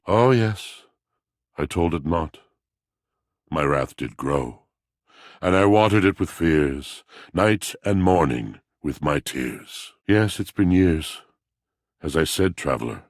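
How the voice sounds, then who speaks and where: An actor playing a brooding, poetic, lovelorn washing machine in a video game, with a very deep, gravelly voice and melodramatic, flowery delivery. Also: male voice, no echo, studio quality, full character voice. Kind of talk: theatrical video game character acting.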